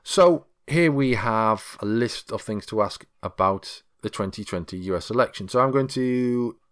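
A man's voice: fairly low pitch, normal speed, a bit bright, clear, resonant tone. A man presenting a podcast in a casual, chatty manner as its host.

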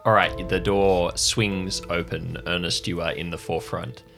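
A man working as game master, narrating a tabletop roleplaying game in measured, descriptive storytelling. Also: deep voice, fairly even intonation, vocal fry, over music.

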